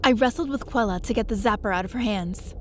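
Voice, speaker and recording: A woman's voice: fairly high pitch, fast talker, very noisy, close to an everyday voice. A woman playing an eager, naive young adventurer who narrates her own story in an audio drama. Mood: narrating. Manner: animated, chatty, naive. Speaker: lead character and narrator.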